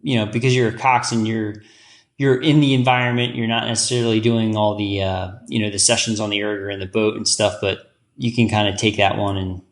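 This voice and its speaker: A man hosting a podcast, asking casual interview questions with upbeat, quick affirmations. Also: fairly low pitch, flat delivery. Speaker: podcast host.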